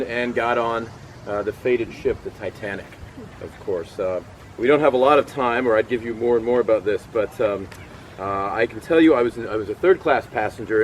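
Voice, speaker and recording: A middle-aged man giving a first-person historical portrayal outdoors, telling his story with frequent pauses and fillers. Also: medium pitch, slight background noise, natural voice, low-quality recording. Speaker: historical portrayer at a cemetery event. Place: outdoors in a cemetery. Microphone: far camera.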